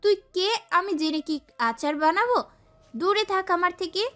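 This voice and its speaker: Woman playing a very high-pitched, sassy young girl. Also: measured pace, soft background music. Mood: angry, defiant. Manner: expressive, girlish. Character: sassy, feisty young girl telling her love story. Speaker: narrator and lead actress. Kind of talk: dramatised first-person romance story narration.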